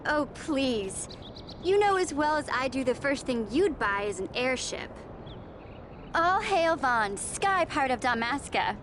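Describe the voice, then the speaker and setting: High-voiced, sensible teenage girl. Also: sing-song, measured pace, background noise. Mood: teasing. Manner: chiding, playful. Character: sensible, teasing teenage girl, exasperated best friend. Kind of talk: naturalistic game voice acting.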